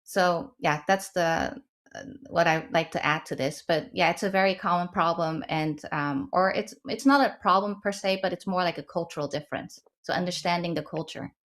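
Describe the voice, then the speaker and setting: A woman's voice: fairly low pitch, moderate pace, a bit bright. A woman from the Netherlands giving informal, explanatory advice as a panelist, emphatic and often checking in with the listener. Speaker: webinar panelist and advisor.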